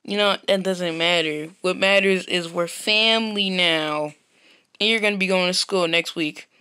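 A man's voice: sits high, moderate pace, pushed and bright, clear, resonant tone, dry recording. A man voicing a stern, short-tempered adoptive mother in a game roleplay, blunt and threatening. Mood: gruff.

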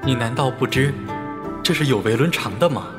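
An actor playing the gentle, wistful ghost of a young man in an audio drama, speaking softly. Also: mid-range voice, animated, normal speed, over music, natural voice. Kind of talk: Chinese audio drama acting.

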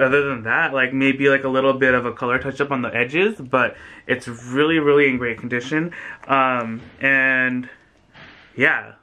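A bright, forward male voice with an American accent and a medium pitch. He chats effusively in a loose, rambling vlog style, peppering his sentences with "like". He sounds like a man in his thirties talking to a camera at home. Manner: effusive, chatty, rambling with frequent "like". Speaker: vlogger and thrift reseller. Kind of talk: casual chatty vlog to camera. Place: small furnished room indoors, on a sofa.

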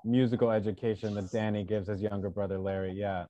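A man's voice: fairly low pitch, fairly even intonation, fast talker, warm tone, no echo. A man in his thirties hosting a casual online interview and putting questions in a conversational way. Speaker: interviewer, host. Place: small furnished room at home. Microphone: computer mic on a video call. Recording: dull video-call sound.

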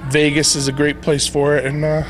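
A middle-aged man chatting as an interview guest, relaxed and joking, into a handheld mic. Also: a little high, a little fast, music in the background. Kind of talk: casual chat. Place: convention hotel room. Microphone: handheld mic held by interviewer.

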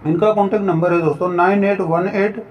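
A man's voice: sits high, steady tone, brisk. A man hosting a job-listing channel, reading out a vacancy notice point by point and often addressing viewers as friends. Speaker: job-listing YouTube host. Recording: distant, dull lo-fi mic with faint noise.

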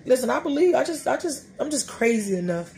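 A woman's voice: high voice, fairly quick, faint music behind. A woman in her thirties hosting a casual faith podcast, talking animatedly and preachily from a small room. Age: 30s. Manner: animated, preachy, talks to herself, laughing asides. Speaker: podcast host. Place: small room, indoors before a dark curtain.

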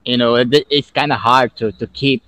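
A man's voice: medium pitch, fairly even intonation, moderate pace, bright, forceful tone, low-quality recording. A young man chatting playfully as an English student on a headset over a video call, laughing a lot. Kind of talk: casual chat on a video call.

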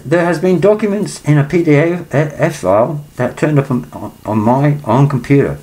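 A man's voice: medium pitch, darkish tone, slight background noise, poor audio. A man reads a letter aloud in a small room at home, breaking off often into rambling asides. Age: 60s. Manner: rambling, breaks off his reading to comment. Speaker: vlogger.

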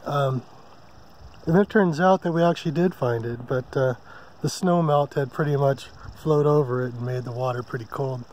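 A man hosting a casual camping vlog from a mountain meadow, talking in a relaxed, rambling way with many ums and uhs. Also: mid-range voice, moderate pace, a little hiss or hum, poor audio. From Hawaii. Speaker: vlog host. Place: open mountain meadow campsite outdoors.

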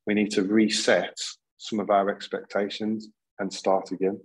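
Husky low-voiced man, earnest. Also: flat delivery, moderate pace, low-quality recording. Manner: earnest, many restarts and repeated words. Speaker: panel guest, school leader. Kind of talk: video-call panel discussion. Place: plain indoor room. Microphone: video call.

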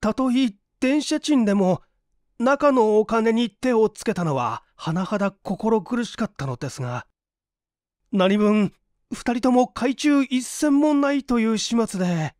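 A man's voice: high voice, lively intonation, measured pace, darkish tone, no room sound, clean studio sound, pitched above the performer's own voice. A man playing an earnest, penniless young man in a story reading, humble and apologetic, pleading with effort. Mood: desperate, ashamed.

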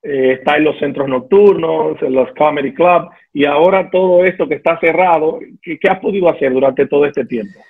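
Man's husky voice over a tinny call. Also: fairly high pitch. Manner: short affirmations, slow questions. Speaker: interviewer host. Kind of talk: interview questions. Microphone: video call. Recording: tinny phone-like call audio.

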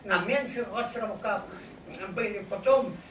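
A man in his eighties or older, a veteran recounting his memories to an audience, haltingly and searching for words. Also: high-pitched, fairly bright tone. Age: over 80. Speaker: war veteran giving testimony. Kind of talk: unscripted personal recollection to an audience. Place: meeting hall with seated audience. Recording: echoey hall, noisy, distant camera mic.

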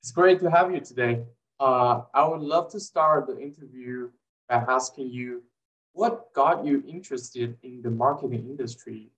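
A man's voice: mid-range voice, normal speed, fairly bright tone, recorded from a distance, dull audio. A young man hosting an interview over a video call, polite and a little hesitant when he asks his questions. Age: young adult. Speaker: host. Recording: echoey room, off-mic.

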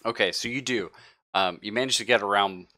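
Bright, forceful low-voiced man running a game. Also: brisk. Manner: informal, profane. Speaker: game master. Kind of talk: casual tabletop game narration and chat.

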